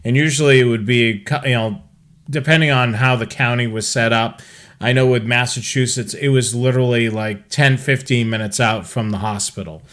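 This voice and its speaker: A man hosting a casual talk, explaining things in a halting, conversational way. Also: mid-range voice, fairly even intonation, fairly bright tone. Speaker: host.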